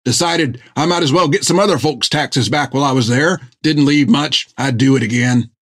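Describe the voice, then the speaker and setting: A man voicing a proud, rough male ship's carpenter with a rustic, stiffly eager way of talking. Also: medium pitch, normal speed, no echo, clean studio sound, lightly acted.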